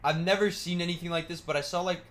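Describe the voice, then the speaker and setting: A man's voice: sits high, fairly even intonation, quick pace, fairly bright tone. A man giving casual reaction commentary as a video host, talking in loose slang with some swearing.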